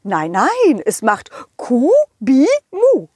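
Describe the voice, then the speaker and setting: A woman's voice: sits high, wildly swooping pitch, very slow, a little mellow, breathy edge, dry recording, clean studio sound, heavily acted. A woman voicing an anxious, tired king in a children's audio story, stammering and fretting as he talks. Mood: weary, anxious. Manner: stammering, dragging out words, fretful.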